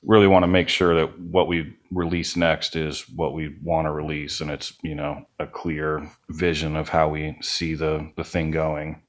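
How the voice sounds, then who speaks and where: A man's voice: very deep voice, completely flat delivery, moderate pace, hardly any pauses. A man, speaking as a guest in a casual interview. He rambles a little and hedges his points, circling toward what he means. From United States. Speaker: band member, podcast guest.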